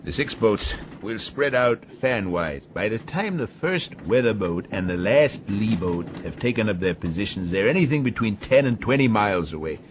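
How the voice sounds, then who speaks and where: An actor playing a domineering, hard-driving sea captain in a radio drama, blunt and commanding. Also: male voice, on the low side, expressive, normal speed, few pauses, background noise, natural voice. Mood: stern, menacing.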